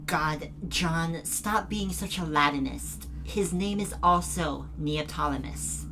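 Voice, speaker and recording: A woman's voice: low-pitched, slow, sharp tone, soft background music, heavily acted, low-quality recording. A woman acting in an audio drama as a guilt-ridden, frightened young student who is anxious and defensive. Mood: guarded, evasive. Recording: noticeable background noise and ambience.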